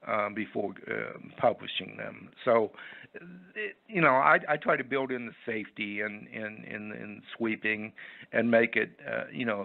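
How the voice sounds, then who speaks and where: A man giving unscripted interview answers in a halting, rambling, folksy way over a phone line. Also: fairly low pitch, creaky. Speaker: interview guest, website owner. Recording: muffled, tinny phone line.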